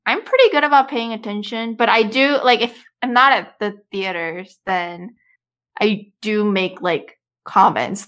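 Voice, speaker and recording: A woman's voice: fairly high pitch, a bit bright. A woman co-hosting a podcast in casual chat, laughing easily as she talks. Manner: chatty, laughs while talking. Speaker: podcast co-host.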